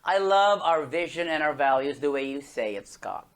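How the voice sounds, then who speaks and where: A man's voice: fairly high pitch, lively intonation, normal speed. Campy male podcast host. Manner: campy, playful. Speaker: show host. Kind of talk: scripted show opening.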